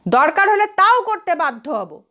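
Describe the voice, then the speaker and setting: A woman voicing a sharp-tempered, haughty young college woman in a quarrel, in a dramatised storybook reading. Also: extremely high pitch, big pitch swings, measured pace, dull audio, heavily acted. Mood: indignant, sharp.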